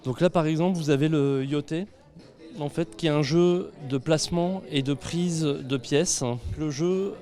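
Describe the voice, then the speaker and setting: A man's voice: on the high side, measured pace, warm tone. A man representing a board game club, explaining a game in an interview.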